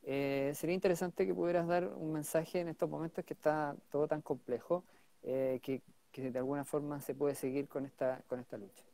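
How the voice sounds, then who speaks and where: A man's voice: on the high side, steady tone, brisk, creaky, warm tone, dry recording. Male host in his forties, supportive, hesitant "eh" fillers, dry close earbud-mic sound. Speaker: interview host. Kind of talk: hosting a live-stream interview. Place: indoor office room. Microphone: wired earbuds mic on a video call.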